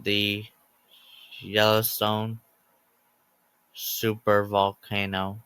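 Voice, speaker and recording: Guy reading out an emergency warning script super slowly, choppy few words at a time, flat tone, dry close sound. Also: lowish voice, smooth, no echo. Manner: halting, breaking sentences into short word groups. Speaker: narrator of a homemade emergency alert scenario. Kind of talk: scripted emergency alert announcement.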